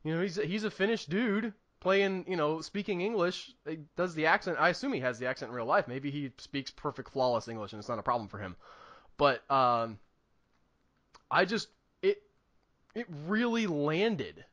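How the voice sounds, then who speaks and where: A man's voice: on the high side, expressive, moderate pace, a bit bright, dull audio. A man co-hosting a film review podcast, chatting casually in an enthusiastic, emphatic way and rambling as thoughts come. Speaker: podcast co-host reviewing films. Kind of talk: casual movie review chat.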